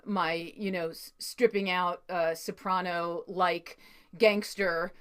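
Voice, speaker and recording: Earnest low-voiced New York woman host. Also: fairly even intonation, slow pace, smooth. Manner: earnest, effusive, with many fillers. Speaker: podcast host. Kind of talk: interview introduction and chat.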